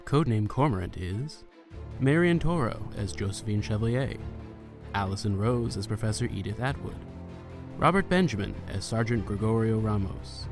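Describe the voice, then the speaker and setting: Low-voiced man reading show credits. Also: expressive, moderate pace, over music. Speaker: credits announcer. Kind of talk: scripted announcement.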